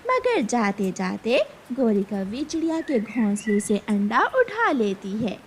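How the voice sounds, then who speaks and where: A woman's voice: on the high side, sing-song, slow pace, breathy edge. A woman reading scripted narration for a children's cartoon story.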